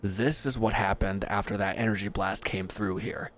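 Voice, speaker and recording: A man's voice: on the low side, steady tone, moderate pace, fairly bright tone, slight background noise, lightly acted. A man playing a bitter, wounded villain who is grieving and accusing, speaking with weary sarcasm in a scripted audio roleplay addressed to the listener. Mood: sardonic, accusing.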